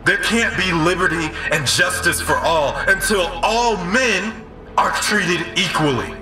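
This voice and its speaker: A man's voice: high-pitched, measured pace, pushed and bright, exaggerated voice. An actor playing an earnest, principled man making an emphatic speech for justice in an audio drama. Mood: grave, indignant. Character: John Mark, impassioned, principled male street orator calling for justice. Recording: echoey, distant, with music behind.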